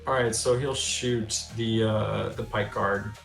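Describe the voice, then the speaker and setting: A man's voice: fairly low pitch, fairly even intonation, slow pace, gravelly, fairly bright tone. A man playing a tabletop fantasy game, casually narrating what his character does. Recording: roomy sound with music behind.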